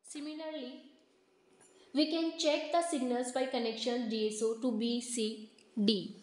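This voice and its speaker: A woman's voice: on the high side, fairly even intonation, measured pace, darkish tone. Young Indian-accented woman in an echoey room. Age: 20s. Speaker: product demonstrator. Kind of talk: step-by-step instructional demonstration. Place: office showroom with shelves, indoors. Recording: roomy, echoing indoor recording.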